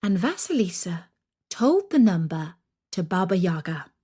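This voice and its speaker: A woman narrating a scary children's story in a deliberate, dramatic, expressive way. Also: fairly low pitch, slightly rough.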